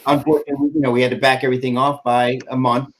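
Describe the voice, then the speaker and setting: Halting, rambling man in his forties. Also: medium pitch, flat delivery, a little hiss or hum. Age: 40s. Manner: rambling, halting with many fillers. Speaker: airsoft field owner. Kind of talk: casual podcast chat.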